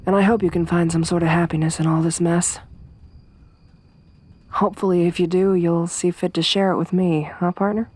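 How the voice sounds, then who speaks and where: A young woman voicing a tough, tomboyish survivor companion in an ASMR roleplay, speaking softly with a wry, weary warmth. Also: on the low side, flat delivery, normal speed, lightly acted. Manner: soft-spoken, wry, quietly reflective.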